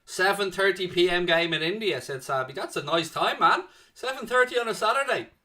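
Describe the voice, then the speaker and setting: South dublin guy hosting a live footy stream, bright slightly high voice, jokey, calls everyone mate. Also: lively intonation, brisk. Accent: South Dublin Irish. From Ireland. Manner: chatty, jokey, answers chat with "mate" and "man". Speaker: host of a football watchalong stream. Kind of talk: casual live-stream chat reading viewer comments.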